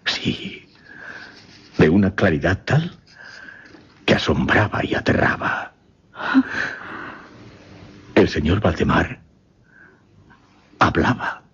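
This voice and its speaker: An actor playing a shaken gentleman recounting a supernatural horror in a radio play, grave, formal and halting. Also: low voice, expressive, slightly rough, full character voice. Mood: flustered, awed. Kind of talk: suspense radio theatre acting. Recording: dull, muffled radio recording.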